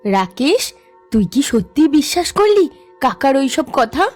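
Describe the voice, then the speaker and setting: A bright, curious village girl. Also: sits high, very animated, measured pace, faint music behind. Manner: eager, questioning. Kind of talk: cartoon voice acting.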